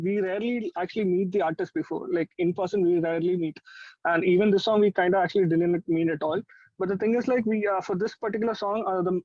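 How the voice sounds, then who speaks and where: A fairly high-voiced man with an Indian accent explains his work as a musician in a casual interview. He is conversational and a little halting. The recording is dry and slightly muffled. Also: darkish tone, dry recording. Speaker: musician in a pop duo, interview guest. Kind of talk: casual interview chat, explaining a creative process. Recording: dull lo-fi online-call sound, dry.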